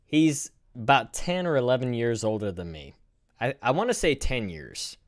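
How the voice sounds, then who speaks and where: A man in his thirties hosting a casual live stream, reacting in a rambling, profane, animated way. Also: mid-range voice, normal speed. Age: 30s.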